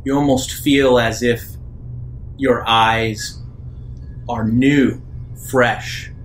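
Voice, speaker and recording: A man narrates a tabletop roleplaying game as game master. He speaks slowly, pausing between short phrases, in a mid-pitched voice with faint noise and room ambience behind him. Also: steady tone.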